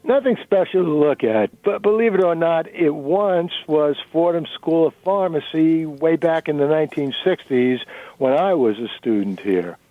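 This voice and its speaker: A man's voice: fairly high pitch, expressive, normal speed, no echo, faint music behind, close to an everyday voice. A man playing an uneasy, uncertain married man in a dramatic reading, speaking hesitantly and earnestly. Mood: matter-of-fact. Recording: narrow-band radio recording.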